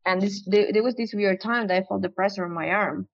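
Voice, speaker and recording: A woman's voice: mid-range voice, fairly even intonation, a little fast, vocal fry, no room sound. Hesitant woman guest, dry lo-fi sound. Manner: hesitant, many restarts and fillers. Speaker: podcast guest. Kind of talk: casual interview chat. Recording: dry, dull lo-fi audio.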